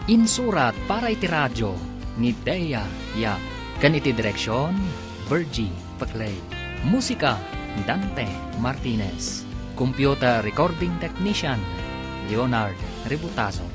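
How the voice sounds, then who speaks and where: A man's voice: mid-range voice, very animated, a little slow. Dramatic male radio announcer over music. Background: music bed with guitar.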